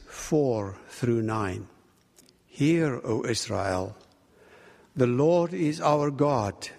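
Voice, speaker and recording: Male guest preacher, Afrikaans-accented South African, slow and deliberate, recorded in a hall with long reverb. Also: mid-range voice. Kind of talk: sermon with prayer and scripture reading. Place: large reverberant room.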